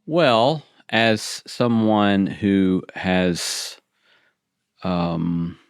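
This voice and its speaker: A middle-aged man who teaches theology, co-hosting a podcast and answering in a hesitant way with many pauses. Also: sits low, animated, clear, resonant tone, no echo, clean studio sound. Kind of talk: conversational podcast chat.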